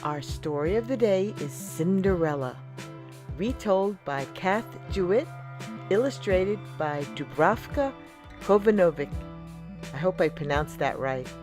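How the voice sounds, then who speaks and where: A woman storyteller reading a children's picture book aloud in a slow, deliberate and expressive way. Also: lowish voice, soft background music. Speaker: storyteller, channel host.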